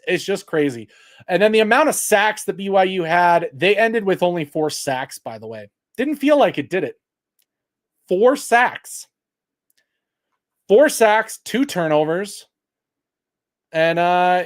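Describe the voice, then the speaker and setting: Male sports host, higher-pitched, loud and projecting, exasperated post-game breakdown. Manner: reads stats aloud to chat. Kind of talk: post-game livestream commentary.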